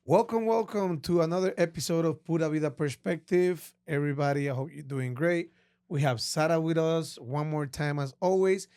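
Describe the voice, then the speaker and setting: Male host, slightly high, friendly and chatty, dry close sound. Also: normal speed, a little mellow, clean tone, dry recording. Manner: friendly, repeats words, non-native phrasing. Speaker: podcast host. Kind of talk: casual interview.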